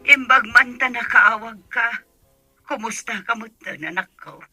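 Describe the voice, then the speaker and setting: A woman's voice: on the high side, a little slow, pushed and bright, faint music behind. Scolding older Ilocano mother, piercing voice. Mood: reproachful. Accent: Filipino, Ilocano. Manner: scolding, emphatic. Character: stern older mother scolding her grown daughter. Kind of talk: Ilocano radio drama acting.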